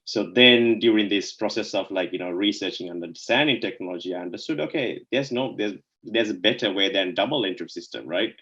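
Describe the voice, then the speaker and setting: A man's voice: fairly low pitch, fairly quick, hardly any pauses, bright, forceful tone, lo-fi sound. A man from Sri Lanka, a guest in an interview, answering casually and filling his talk with "like, you know" and "right?". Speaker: guest, DeFi co-founder.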